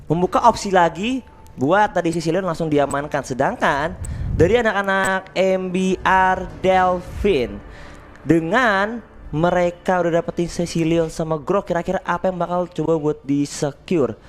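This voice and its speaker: High-voiced young male esports caster. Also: measured pace. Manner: analytical, explains hero picks at length. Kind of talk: esports draft commentary.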